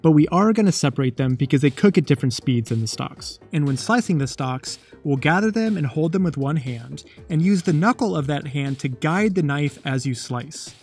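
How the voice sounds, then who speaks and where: A man's voice: on the high side, animated, normal speed, few pauses, a little mellow, faint music behind. A man narrating a cooking lesson in a scripted voice-over with a playful, jokey tone. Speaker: cooking show host.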